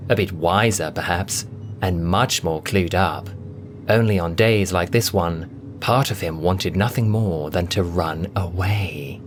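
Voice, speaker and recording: A man narrating a scripted science-fiction story with a theatrical, expressive delivery. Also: low voice, slight background noise. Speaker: audiobook narrator and voice performer. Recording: loud music bed under the voice. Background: music.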